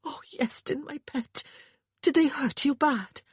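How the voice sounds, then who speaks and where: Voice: moderate pace, slightly rough, no room sound, clean studio sound. A narrator voicing a frightened young Welsh woman whose voice trembles as she comforts a hurt boy, with a Welsh accent. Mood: tearful. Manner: trembling, high, pleading.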